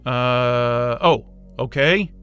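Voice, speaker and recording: An actor playing an irritable, sarcastic, sweary astrophysicist in a science fiction audio drama. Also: male voice, medium pitch, extremely slow, music in the background. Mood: grumbling, puzzled. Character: Wayne, irritable sarcastic astrophysicist, adult man. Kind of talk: naturalistic audio drama acting.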